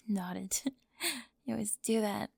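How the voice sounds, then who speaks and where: Actress as fragile, defensive teen perfectionist in ASMR roleplay. Breathy, halting delivery with a creaky edge, very close and dry. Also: female voice, medium pitch, mellow, no room sound, heavily acted. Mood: flustered, vulnerable. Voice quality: breathy, often dropping into whisper. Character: anxious perfectionist teenage girl at prom, vulnerable, defensive. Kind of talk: ASMR roleplay audio drama.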